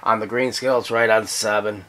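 A middle-aged man giving a casual, halting, folksy hands-on demonstration, thinking aloud at a workbench. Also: fairly low pitch, flat delivery. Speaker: radio restorer demonstrating a repair. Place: cluttered indoor electronics workbench. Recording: loud background noise and radio hum.

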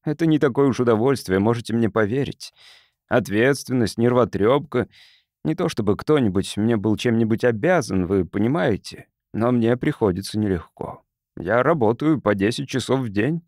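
A man's voice: mid-range voice, expressive, normal speed, a little mellow, no echo, clean studio sound, lightly acted. A man voicing a smug, cold welfare investigator in an audiobook, officious and condescending. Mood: self-pitying. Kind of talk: audiobook character dialogue.

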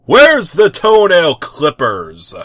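A man's voice: high voice, huge pitch swings, takes it slowly, a bit bright, no room sound, clean studio sound, pitched above the performer's own voice, exaggerated voice. A man voicing an enthusiastic, dim-witted superhero who makes grandiose proclamations in a comic story reading. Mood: rousing, heroic.

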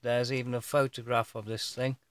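A middle-aged man giving a casual unboxing commentary, rambling and thinking aloud with halting phrases as he films by hand indoors. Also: mid-range voice, flat delivery, slight background noise. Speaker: vlogger showing his own gear. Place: indoors, bedroom, filming over a bed. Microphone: handheld camera or phone held by the speaker.

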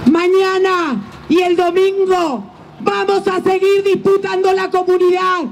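Very high-pitched Argentine woman giving rally speech. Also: low-quality recording. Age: middle-aged. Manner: impassioned, forceful shouted oratory. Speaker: teachers' union leader and legislator. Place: outdoors at a street rally. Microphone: handheld microphone. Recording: outdoor microphone with faint noise.

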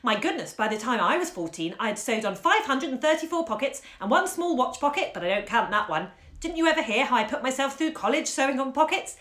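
A woman's voice: sits high, animated, a bit bright, pitched above the performer's own voice. A woman playing a cheeky young college lad, rattling off nonsense. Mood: teasing. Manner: rapid mock-serious nonsense patter. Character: cheeky teasing young male college student. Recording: roomy echo.

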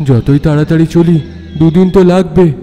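A man voicing a worried young village hero in a cartoon, with a dark, mellow tone. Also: fairly high pitch, fairly even intonation, moderate pace, in a large hall, soft background music, natural voice. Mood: anxious.

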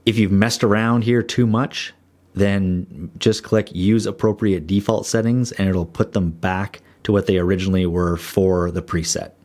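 Deep-voiced man explaining editing software. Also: normal speed. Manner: steady, explanatory. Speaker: video editing tutor. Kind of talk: screen-recorded software tutorial. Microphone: voice-over over screen recording.

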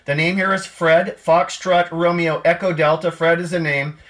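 A man's voice: on the high side, flat delivery, moderate pace, a bit bright. Man calling on amateur radio, clipped delivery. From Canada. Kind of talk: radio operating, phonetic call signs. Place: indoor radio desk, beside the transceiver.

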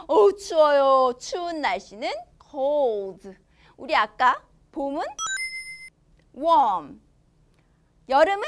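A young woman teaching a children's language lesson, expressive and enunciating slowly for young learners. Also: high-pitched. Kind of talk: children's language lesson, switching between Korean and English.

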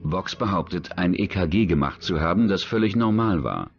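A man's voice: low-pitched, expressive, normal speed, vocal fry, breathy edge, highs cut off. A man narrating a true-crime documentary from a script. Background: background music.

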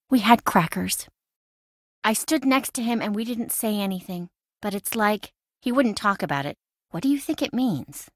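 A woman's voice: mid-range voice, dry recording, studio quality. An actress voicing a chatty, impulsive young woman in a video game, breezy, wry and self-dramatizing. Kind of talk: video game voice acting, conversational.

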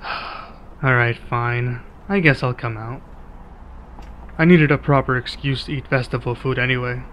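Guy doing asmr roleplay as a chill friendly werewolf, mid pitch, casual and measured, leaves pauses. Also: fairly even intonation, fairly bright tone, a little hiss or hum. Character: laid-back, reluctantly friendly male werewolf local. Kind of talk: ASMR roleplay acting, one-sided conversation.

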